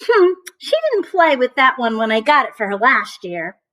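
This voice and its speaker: A woman puts on a kindly old Father Christmas for a storybook. He is mild and unhurried, musing and savouring his words in a high, gentle murmur. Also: very animated. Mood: pondering.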